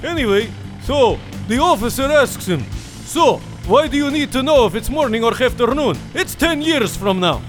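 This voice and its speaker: A man voicing a gruff Russian taxi driver in a comedy sketch, speaking broken English with a Russian accent and flat deadpan delivery. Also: very high voice, normal speed, over music.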